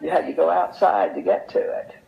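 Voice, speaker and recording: An older woman tells anecdotes in an unhurried, folksy way, repeating phrases for emphasis as an interview guest. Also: sits low, fairly even intonation, creaky, a little hiss or hum. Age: older, over 70. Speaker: interview guest sharing memories. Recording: dull, lo-fi sound.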